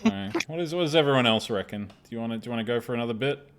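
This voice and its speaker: A man's voice: mid-range voice, sing-song, very fast, creaky, a little hiss or hum. A man hosting a live stream, chatting casually with a group. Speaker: stream host.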